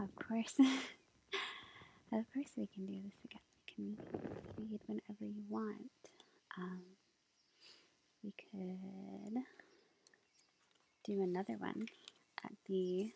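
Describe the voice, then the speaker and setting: A woman playing a sweet, gentle young witch girlfriend in an ASMR roleplay, whispering breathily and reassuringly as she reads tarot. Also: mid-range voice, vocal fry. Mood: tender, pleased. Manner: gentle, hesitant, reassuring, unhurried. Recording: lo-fi, faint background noise. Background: faint ambient nature sounds.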